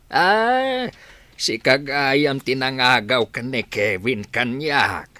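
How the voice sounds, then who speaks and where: A man plays a forgetful, cantankerous old grandfather with an Ilocano accent. His voice is sharp and slow, gruff and halting. It is radio drama, recorded very dry. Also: medium pitch, expressive, dry recording. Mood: suspicious. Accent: Filipino, Ilocano.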